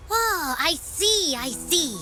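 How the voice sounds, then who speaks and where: A bubbly young game companion, talks in a playful way and is quick to exclaim. Her voice is high and crisp, with a bright, sharp edge. Her pitch bounces lively from line to line. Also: slight background noise, heavily acted. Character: cheerful, chatty young woman companion. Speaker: companion character. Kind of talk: game voice acting.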